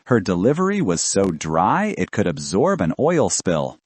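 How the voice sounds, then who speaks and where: A man's voice: low-pitched, wildly swooping pitch, a little slow, breathy edge, no room sound. A man narrating fiction as a scripted audiobook reader.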